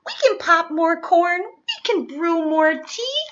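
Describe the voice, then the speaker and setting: A woman voicing a tiny mouse who squeaks and coaxes in a storybook reading. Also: sing-song, slow pace, fairly bright tone, muffled recording, slight background noise, exaggerated voice. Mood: coaxing. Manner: squeaky, coaxing. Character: tiny fussing female mouse, soothing.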